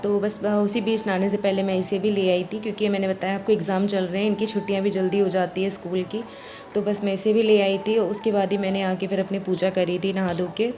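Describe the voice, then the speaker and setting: Hoarse woman chatting through chores. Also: mid-range voice, flat delivery, brisk, a little mellow, soft background music. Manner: chatty, explaining each chore step by step. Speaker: vlogger. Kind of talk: home vlog voice-over.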